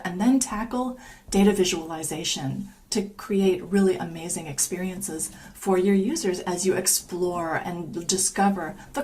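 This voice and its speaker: A woman's voice: mid-range voice, a little mellow, a little hiss or hum. A woman giving an upbeat recorded talk that presents free online learning curricula.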